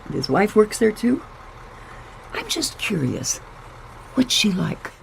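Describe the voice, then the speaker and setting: A man playing an eager, inquisitive office assistant to a detective in a radio mystery, with a creaky voice. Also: high-pitched, huge pitch swings, slow pace, a little hiss or hum, full character voice, rough recording. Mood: curious. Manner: inquisitive, keen. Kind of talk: radio mystery drama acting.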